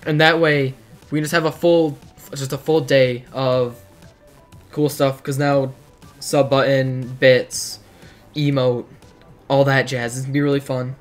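Hoarse teen boy, rambling livestream chat, mid-pitched voice, faint music behind. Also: level tone, measured pace, long pauses. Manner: rambling, joking, reacts to chat. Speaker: streamer. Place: dim bedroom.

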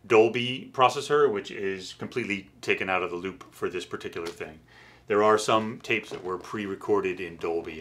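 A middle-aged man giving a casual technical explanation in a measured, thoughtful way. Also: on the low side, a bit bright. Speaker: company founder presenting his audio gear. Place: small wood-furnished listening room indoors.

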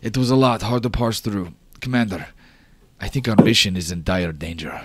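A man voicing a stressed female ship officer who stammers anxiously in a hushed voice during tabletop roleplay. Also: fairly low pitch, expressive, moderate pace, no room sound.

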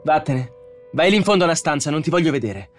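A man's voice: on the high side, normal speed, soft background music, pitched above the performer's own voice, natural voice. A man voicing a tense, sarcastic woman robot psychologist with an agitated, emphatic delivery in an audiobook story. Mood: furious.

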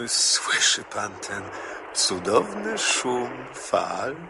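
An actor playing a smug, mocking murderer and sweet-talking lover in a radio drama, playful and taunting. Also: male voice, medium pitch, sing-song, creaky, very noisy, exaggerated voice. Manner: taunting, playful, drawn-out endearments.

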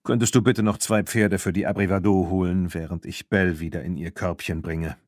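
A man voicing a calm, outwardly hospitable man who speaks with unhurried, sly courtesy in an audiobook. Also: deep voice, no echo, studio quality, voice pushed down. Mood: polite.

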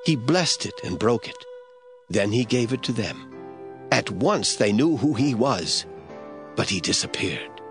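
A man's voice: medium pitch, lively intonation, measured pace, music in the background. A man narrating scripture as a scripted audio drama storyteller.

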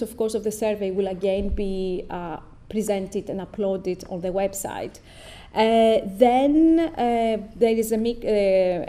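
A woman in her thirties with a Greek accent giving a conference presentation as an academic, explaining in a halting, self-correcting way. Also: medium pitch, dark tone. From Greece. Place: indoor stone-walled venue, at a lectern. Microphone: lectern microphone.

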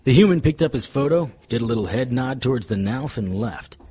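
An actor playing a wry, deadpan, hardboiled supernatural private investigator narrating a noir radio drama in a husky voice. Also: male voice, mid-range voice, fairly quick. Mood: wry, watchful. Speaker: narrator and lead.

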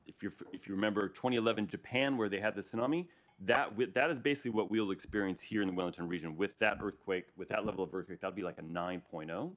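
Deep-voiced American man presenting to council. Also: fairly bright tone. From Arizona, USA. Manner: conversational, frequent "right?" tag questions. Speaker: regional emergency recovery manager. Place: council chamber, large meeting room.

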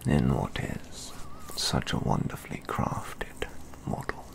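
Casting: young male actor as doting, pompous model collector for ASMR; gravelly whisper, extremely slow, precise formal delivery. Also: lightly acted. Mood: absorbed, approving. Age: young adult. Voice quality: breathy, half-whispered, gravelly. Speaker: ASMR roleplay performer. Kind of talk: soft-spoken ASMR roleplay. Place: small indoor studio, close up.

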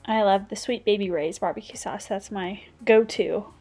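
A woman's voice: medium pitch, normal speed, darkish tone, faint music behind. A woman in her thirties, a stay-at-home mom, giving a casual, practical voice-over as she cooks and shares kitchen tips. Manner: chatty, practical, explains tips as she goes.

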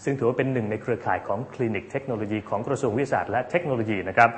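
A man's voice: medium pitch, fast. A man hosting a TV programme, presenting scripted material to viewers in a formal, polite manner. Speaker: TV programme host. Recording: long hall-like reverb.

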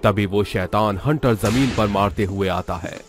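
A man's voice: lowish voice, a little slow. A man narrating a horror cartoon story in a dramatic, scripted storytelling style. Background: background music.

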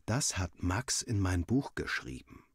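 Male narrator for a first-person story, lowish pitch, lively intonation, acts out the dialogue. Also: measured pace, husky, no echo, studio quality. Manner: animated storytelling. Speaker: audiobook reader.

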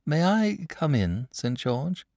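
A man voicing an eager, excitable young village boy in a storybook reading, pleading and high-pitched. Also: moderate pace, no echo, clean studio sound. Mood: polite.

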